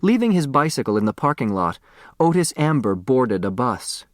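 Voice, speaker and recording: Male narrator for fiction: expressive storytelling, crisp phrasing, very dry sound. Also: medium pitch, normal speed, no echo. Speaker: audiobook narrator.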